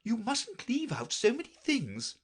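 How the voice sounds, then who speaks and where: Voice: mid-range voice, huge pitch swings, unhurried, husky, voice pushed up, full character voice. A reader voicing a flustered, rambling queen in a storybook audiobook, with a fluttery, anxious manner. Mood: fretful. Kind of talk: audiobook character voice.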